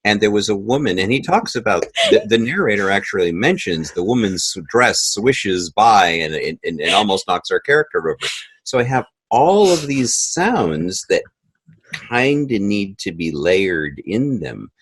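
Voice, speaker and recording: A man with a low voice talks through his work in an interview. The sound is dry and close, and his delivery is conversational, with short acted-out asides as he explains. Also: very animated, unhurried, a bit bright, husky, no room sound. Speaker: composer and sound editor of an audio drama.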